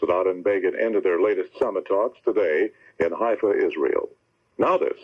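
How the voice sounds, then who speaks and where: A man's voice: low-pitched, normal speed. A man reading scripted news as a radio anchor. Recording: muffled, narrow-band old radio recording.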